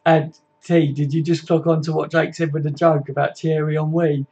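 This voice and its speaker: A man's voice: a little high, flat delivery, normal speed, darkish tone, husky. A man, hosting a casual live stream, chatting matily with viewers and laughing easily. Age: 20s.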